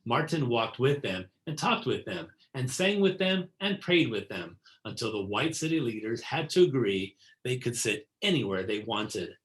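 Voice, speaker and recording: A man reads a picture book aloud for children in a medium-pitched voice. His delivery is deliberate and scripted, with key words given clear emphasis. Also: big pitch swings, a bit bright, rough recording. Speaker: read-aloud narrator.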